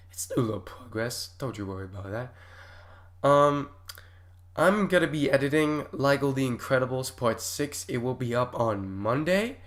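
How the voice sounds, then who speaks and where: A young man hosting a casual reaction vlog while sick with a cough and stuffy nose, given to loud, excitable outbursts. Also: medium pitch. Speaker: YouTube host reacting to videos.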